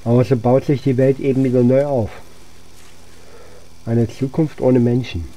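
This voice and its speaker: A man's voice: mid-range voice, level tone, normal speed, mellow, low-quality recording. A man giving casual let's play commentary as host, musing aloud to himself as he goes. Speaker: gaming channel host.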